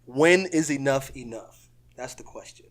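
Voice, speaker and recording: A man's voice: medium pitch, unhurried. Hesitant man with lots of fillers. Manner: hedging, full of fillers and "you know what I mean". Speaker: podcast co-host, topic moderator. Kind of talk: casual chat.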